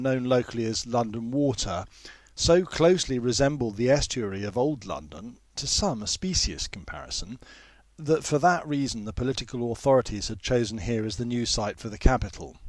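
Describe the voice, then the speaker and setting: A man narrating a literary story in a measured, formal manner with precise phrasing. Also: mid-range voice, lively intonation, dry recording. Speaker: author reading own novel.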